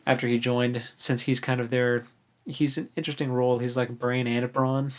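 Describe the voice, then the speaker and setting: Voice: mid-range voice, little pitch movement, normal speed. A podcast co-host in a casual book discussion, recapping informally in loose, slangy language.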